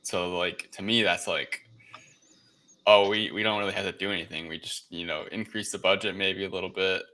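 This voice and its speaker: A man's voice: low voice, fairly even intonation, brisk, sharp tone. A man co-hosting a casual film discussion in an informal, sardonic way. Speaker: podcast co-host. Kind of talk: casual chat, film review.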